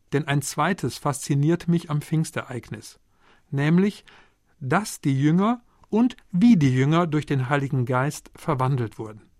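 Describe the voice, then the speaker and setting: A man delivers a sermon in a measured, earnest way, with a voice pitched a little above the usual for men. The sound is dry and close, with no room echo. He pauses on rhetorical questions and keeps a steady preaching cadence. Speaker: preacher, theology lecturer. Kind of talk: Pentecost sermon.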